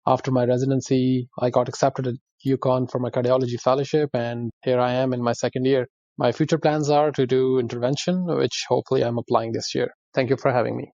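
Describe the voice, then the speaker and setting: A man from Pakistan, a cardiology fellow, introducing himself in a steady, matter-of-fact way. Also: mid-range voice, brisk, creaky, no echo. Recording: dull lo-fi recording.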